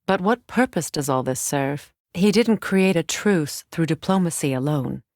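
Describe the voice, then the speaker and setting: Regal goddess warrior, female, middle-aged, solemn, formal measured phrasing, fairly low pitch, dry studio sound, dramatic game acting. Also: animated, a little mellow, no room sound. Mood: solemn, reflective.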